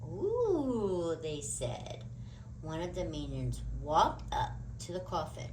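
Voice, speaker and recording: A woman's voice: deep voice, takes it slowly, noisy background. A woman narrating a children's storybook aloud, expressively and with dramatic emphasis.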